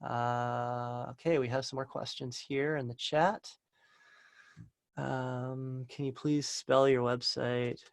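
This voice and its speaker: A calm, soft-spoken man teaching meditation, guiding a session and then answering questions from an online group. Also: mid-range voice, takes it slowly, dry recording, clean studio sound. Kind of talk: guided meditation and live Q&A webinar. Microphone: video call.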